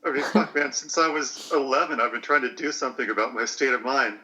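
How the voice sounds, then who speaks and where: A man in his late forties talking slowly through a personal reflection, hesitant and searching for words. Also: mid-range voice, background noise. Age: 40s.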